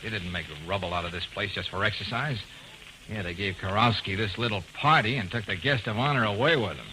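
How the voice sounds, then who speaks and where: An actor playing a tough, wisecracking private detective in 1940s American radio drama, with brisk clipped delivery. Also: male voice, fairly low pitch, lightly acted. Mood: grim, wry. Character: wry, tough, quick-witted male private detective. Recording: noisy vintage radio drama recording.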